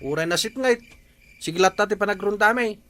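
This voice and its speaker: A timid, superstitious night watchman, acted by a man for Ilocano radio comedy. He speaks in a high voice with an Ilocano accent, nervous and pleading toward those around him. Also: brisk, fairly bright tone, a little hiss or hum. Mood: anxious, pleading. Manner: nervous, deferential. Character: timid, superstitious junior village watchman, easily spooked.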